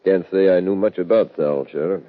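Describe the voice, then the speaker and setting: A male actor as a deep-voiced, protective rancher. He talks plainly and sparingly in an old radio play, with tinny vintage sound. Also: fairly quick, natural voice. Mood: plain, loyal. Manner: plain, subdued, laconic. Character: gruff, protective, plain-spoken older rancher uncle. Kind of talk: 1950s radio western acting.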